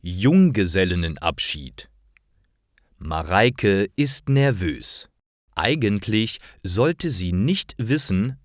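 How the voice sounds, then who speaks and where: A man reading simple stories for language learners as a scripted narration, in careful, clearly separated short phrases. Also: on the low side, no room sound, studio quality.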